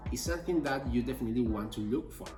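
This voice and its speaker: A man's voice: mid-range voice, very fast, warm tone. Man vlogger, emphatic, recorded in an echoey room from a distance with faint music behind. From Spain. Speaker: expat vlogger. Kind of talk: informational vlog.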